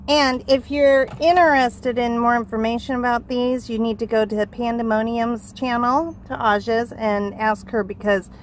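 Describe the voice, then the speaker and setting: A middle-aged woman vlogging in casual chat from inside a truck, playful and quick to laugh. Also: a little high, fairly even intonation, slight background noise. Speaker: vlog host. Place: inside a parked pickup truck.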